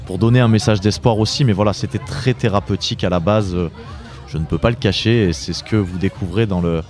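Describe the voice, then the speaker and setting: A man in his late twenties from southeastern France answering interview questions conversationally, often pausing and repeating himself as he searches for words. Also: on the low side, a little mellow, faint music behind. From Drôme, southeastern France. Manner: hesitant, searching for words, repeating phrase starts. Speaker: interview guest, author and entrepreneur.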